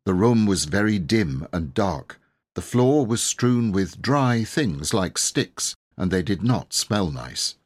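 A man narrating a children's audiobook in a measured, expressive storytelling manner. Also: sits low.

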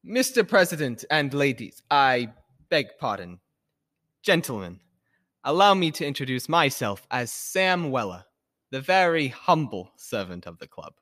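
A man's voice: medium pitch, expressive, normal speed, fairly bright tone, no room sound, clean studio sound, close to an everyday voice. Man playing a charming playful young man. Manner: engaging, mock-formal speechmaking.

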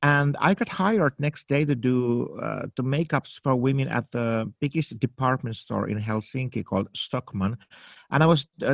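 A man's voice: medium pitch, gravelly, dry recording. A man in his fifties from Finland with a Finnish accent, speaking English as a second language in a casual interview, searching for words with many fillers and restarts. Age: 50s. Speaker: makeup artist guest.

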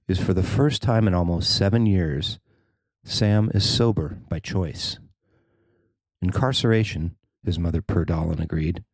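A man with a low voice reads a written news feature aloud as narrator. The sound is dry, with very little room around him. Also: a little mellow, no room sound. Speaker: writer and narrator of a feature story.

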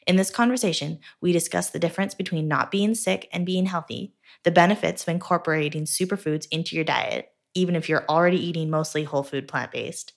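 A woman's voice: sits low, vocal fry. A woman hosting a podcast, reading a scripted intro and then interviewing a guest with an upbeat, enthusiastic manner. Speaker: podcast host.

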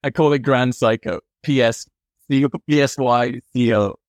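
A man's voice: medium pitch, fairly even intonation, measured pace, no room sound. A man appearing as a podcast guest, talking in an expansive, enthusiastic, rambling way. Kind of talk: casual interview chat.

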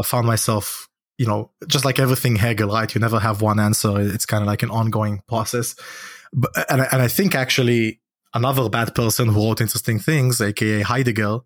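A man's voice: lowish voice, steady tone, moderate pace, fairly bright tone, dry recording, clean studio sound. A man who is a native Hebrew speaker talks through ideas in a discursive, conversational way, often checking in with a questioning tag. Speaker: podcast guest, essayist.

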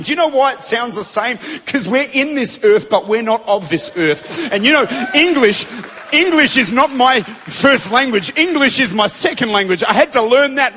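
A man preaching a Pentecostal sermon to a congregation in a large church, fervent and building to shouted declarations. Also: high voice, a little hiss or hum. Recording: long reverb of a big hall.

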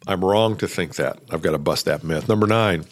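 A middle-aged man hosting a solo podcast, talking loudly and emphatically in a coaching tone. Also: low-pitched, fairly quick. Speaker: podcast host.

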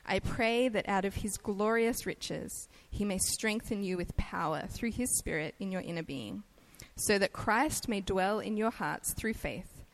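A woman with an Australian accent giving a conversational sermon, chatty and quick to laugh at herself. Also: mid-range voice, poor audio. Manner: chatty and self-deprecating, laughs easily. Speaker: guest preacher.

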